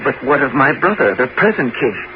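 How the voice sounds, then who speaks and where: An actor playing a bewildered, earnest young prince in a 1970s radio drama, with hesitant, questioning, formal stage diction. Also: male voice, mid-range voice, very animated, moderate pace, close to an everyday voice. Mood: wondering, earnest. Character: bewildered young prince of twenty-one, earnest, gentle, long imprisoned. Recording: muffled, noisy 1970s radio broadcast recording.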